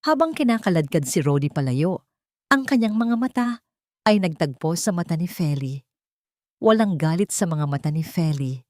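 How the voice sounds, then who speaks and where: A woman reading a dramatic story as narrator, in a measured, solemn way. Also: fairly low pitch, expressive, a little mellow, no echo, studio quality.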